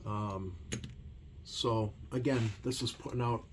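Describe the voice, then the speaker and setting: Low-voiced man, hesitant and rambling. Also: fairly even intonation. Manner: hesitant, rambling, many ums and pauses. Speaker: CB radio repair technician hosting a video. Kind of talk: casual hands-on demonstration. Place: repair workbench indoors. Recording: noisy room tone behind voice.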